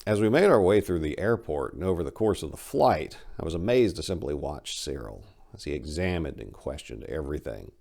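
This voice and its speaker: Deep gravelly man narrating a story. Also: big pitch swings, fairly quick, hardly any pauses, darkish tone. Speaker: author reading own short story. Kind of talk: dramatic scripted narration of a horror story.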